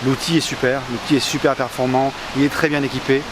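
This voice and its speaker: A man's voice: mid-range voice, little pitch movement, fast talker. Hesitant man interviewed amid hissy noise. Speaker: theatre company member being interviewed. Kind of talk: unscripted interview. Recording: hissy location recording with background noise.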